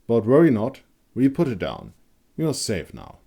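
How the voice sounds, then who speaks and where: A narrator plays a young male monster hunter from a dark fantasy tale. He is cocky and quick to bristle, snarling and barking his words. The pitch sits somewhat above the man's deep narrating register. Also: wildly swooping pitch, creaky, husky, full character voice. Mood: smooth, assured. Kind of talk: dark fantasy audio story acting.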